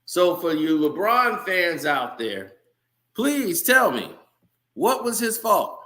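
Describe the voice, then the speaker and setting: Man, sports commentator, heated rant, bright forceful voice, higher pitch. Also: very animated, slow. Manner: heated, ranting, talks straight to viewers.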